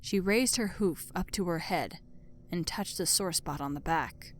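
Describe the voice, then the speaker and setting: A woman's voice: fairly low pitch, unhurried. A woman reading scripted story narration as the narrator. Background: music.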